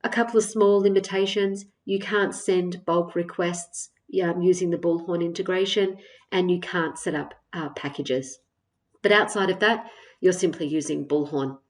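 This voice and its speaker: A woman with a lowish voice narrates a software walkthrough. She explains each step in a measured, semi-scripted way, often pausing on small hesitations as she goes. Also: steady tone. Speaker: software tutorial presenter.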